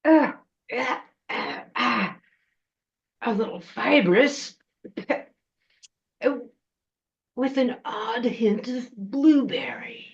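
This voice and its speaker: A woman voicing a hungry, gloating troll witch in a live story, with a drawn-out, groaning delivery. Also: a little high, lively intonation, highs cut off, pitched above the performer's own voice, full character voice. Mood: queasy, groaning.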